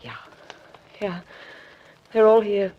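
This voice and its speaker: A woman's voice: medium pitch, expressive, slow. Anxious mystery woman, old radio. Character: anxious, evasive young female mystery woman. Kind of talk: 1940s radio drama acting. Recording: muffled old radio recording, faint music.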